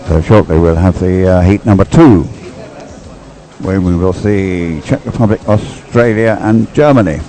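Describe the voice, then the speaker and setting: Deep-voiced older man commentating on races. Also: measured pace, dark tone. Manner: rambling, explanatory asides. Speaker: sports commentator and race umpire. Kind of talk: live race commentary, conversational.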